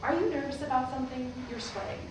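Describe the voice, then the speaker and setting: A woman's voice: sits high, fast talker, lightly acted. A woman acting a tired, wry mother, echoing. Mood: suspicious. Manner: weary, wry. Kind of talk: staged play reading. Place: indoor theatre stage. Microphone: distant camera microphone. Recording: echoey stage recording, far off, noisy.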